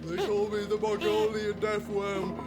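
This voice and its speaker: A man's voice: high-pitched, level tone, measured pace. A man playing a big, dim, good-hearted worm monster in a comic puppet musical, simple and earnest in manner. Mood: vengeful singing. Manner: simple-minded, puzzled, earnest. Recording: guitar-led backing music.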